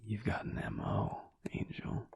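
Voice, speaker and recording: A man playing a teasing, affectionate werewolf husband in an intimate audio roleplay, murmuring in a breathy, gravelly, hushed drawl. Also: whispered, lightly acted. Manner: slow, hushed, wry drawl with pauses.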